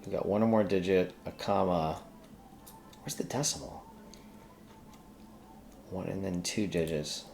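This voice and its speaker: Low-voiced man thinking aloud, some background noise. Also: measured pace, gravelly, pauses often, a little mellow. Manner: informal, hesitant, thinking aloud while working. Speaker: host of a programming tutorial. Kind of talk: casual screen-recorded coding walkthrough, thinking aloud. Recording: noticeable background noise, keyboard typing.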